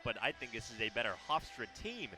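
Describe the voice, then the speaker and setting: A man's voice: mid-range voice, sing-song, a little fast, bright, forceful tone, faint music behind. A man giving conversational, analytical color commentary on a basketball radio broadcast.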